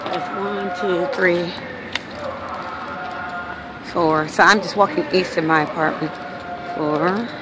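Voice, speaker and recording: A woman's voice: on the low side, fairly even intonation, takes it slowly, pauses often. A woman from Los Angeles with a Californian accent, narrating a casual handheld video as an aggrieved local resident in a matter-of-fact way. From Los Angeles, United States. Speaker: local resident documenting a noise problem. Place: mostly outdoors walking along apartment alley. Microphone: handheld phone. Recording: noisy outdoor phone recording, street noise.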